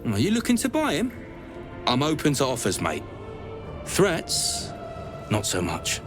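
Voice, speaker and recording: A man's voice: fairly high pitch, wildly swooping pitch, measured pace. A man playing a roguish smuggler in a video game, with a cheeky, haggling, colloquial manner. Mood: shrewd haggling. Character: gruff roguish male smuggler hawking a captive painter. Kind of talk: video game character dialogue. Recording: game dialogue over clear background music.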